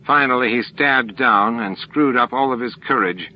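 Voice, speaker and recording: A man's voice: mid-range voice, moderate pace, a little hiss or hum. A man reading scripted dramatic narration as an audiobook narrator. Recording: dull lo-fi tape with music.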